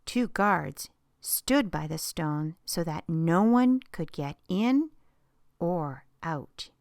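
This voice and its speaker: Slow, gentle woman reading to kids. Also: fairly low pitch, dry recording, studio quality. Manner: expressive storytelling, hushed whispers at dramatic moments. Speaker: children's story reader. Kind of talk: reading a Bible story aloud to children.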